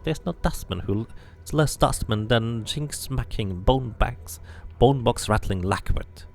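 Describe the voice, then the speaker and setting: A man's voice: mid-range voice, unhurried, vocal fry, darkish tone, faint music behind. A man voicing a cranky, scornful old woman who gossips and mutters insults in a fantasy game.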